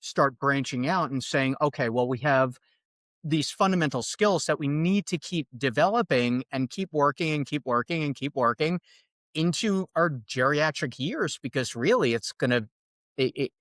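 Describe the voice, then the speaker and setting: A man's voice: fairly high pitch, moderate pace, no echo. A man from New York with a New York accent, talking as a podcast guest about coaching, thoughtful and full of restarts. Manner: thoughtful, restarts often, animated. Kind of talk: casual conversational interview.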